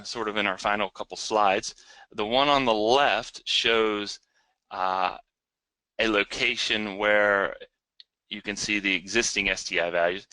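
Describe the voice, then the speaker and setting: A man giving an unscripted technical webinar presentation in a hesitant, halting way, often repeating words. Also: lowish voice, sharp tone, no room sound, low-quality recording.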